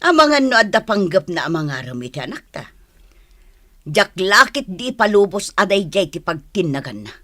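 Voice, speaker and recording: Sharp, stern middle-aged father. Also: sits high, big pitch swings, normal speed, no room sound, clean studio sound, heavily acted. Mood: stern, disapproving. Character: stern, disapproving village parent of a grown daughter. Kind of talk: radio drama acting.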